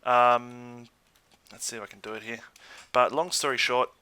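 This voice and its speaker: An Australian man giving casual, chatty let's play commentary in an easygoing way, thinking out loud as he goes. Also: medium pitch, steady tone, moderate pace, clean tone, dry recording. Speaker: gaming YouTuber.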